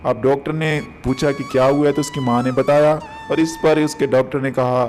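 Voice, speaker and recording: A man's voice: mid-range voice, steady tone, moderate pace, a little mellow, slight background noise. A man narrating a moral story for children as a storyteller. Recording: music behind the voice.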